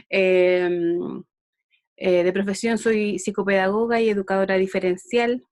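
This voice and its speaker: A middle-aged woman with a Chilean accent giving an online Bible lesson, unhurried and full of fillers and restarts. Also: mid-range voice, fairly even intonation, darkish tone, no echo, studio quality. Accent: Chilean Spanish. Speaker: Bible school teacher.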